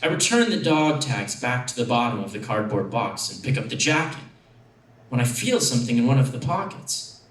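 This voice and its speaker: A man reading a first-person short story aloud as an actor, in an expressive, dramatic way. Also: medium pitch, moderate pace. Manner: expressive, acting out dialogue. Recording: echoey room, distant microphone.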